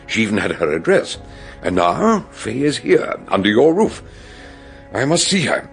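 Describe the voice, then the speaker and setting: An actor playing a shrewd, courteous older British detective in a radio drama, speaking in a ponderous, theatrical way. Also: male voice, fairly low pitch, very animated, normal speed, vocal fry, soft background music. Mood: grave warning. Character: shrewd, grave, genial older male detective. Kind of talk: radio drama acting and narration.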